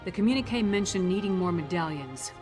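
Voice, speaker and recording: A confident warrior woman voiced by an actress lays out tactics in a fantasy game, blunt and assured. Music plays clearly behind her no-nonsense delivery. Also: lowish voice, expressive, brisk, close to an everyday voice. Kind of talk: fantasy video game dialogue.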